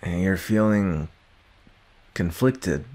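A young man playing a gruff, guarded but secretly caring villain in an ASMR roleplay, speaking haltingly with sighs and grudging tenderness. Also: low voice, a little mellow. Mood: reassuring, confiding. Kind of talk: ASMR roleplay addressed to the listener.

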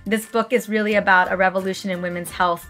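Hoarse, gushing woman podcast host. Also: medium pitch, steady tone, quick pace, fairly bright tone, soft background music. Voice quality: raspy. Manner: effusive, gushing praise. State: hoarse from a book tour. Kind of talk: enthusiastic podcast interview.

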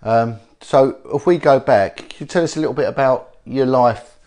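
A middle-aged man from with a slight cold, interviewing a guest in a living room, speaking haltingly with many fillers. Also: medium pitch, darkish tone. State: has a cold. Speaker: interviewer, former police detective. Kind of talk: sit-down interview. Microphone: lapel mic.

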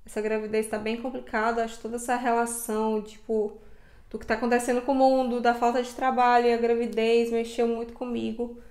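A woman's voice: on the high side, little pitch movement, brisk, darkish tone, reverberant. A Brazilian woman in her thirties chatting casually to camera as a vlogger, colloquial and explanatory. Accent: Brazilian Portuguese. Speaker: travel vlogger. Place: indoor living room, seated on sofa. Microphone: lapel mic.

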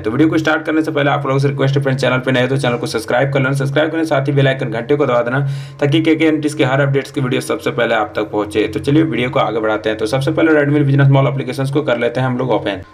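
A man's voice: medium pitch, racing. A man hosting his own tutorial channel, narrating a screen-recorded phone app walkthrough in a chatty way, saying "friends" and "you can see here" again and again. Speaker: YouTube tutorial host. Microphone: voice-over on screen recording.

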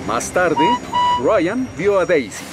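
A man's voice: high-pitched, very animated, takes it slowly. A man with a Latin American Spanish accent narrating a scripted children's story. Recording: loud music behind the voice.

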